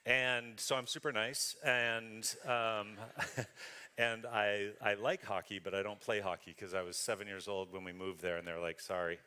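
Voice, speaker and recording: A man's voice: fairly low pitch, sharp tone, clean tone. A man from Western Canada giving a conversational sermon as a guest speaker, self-deprecating and often laughing.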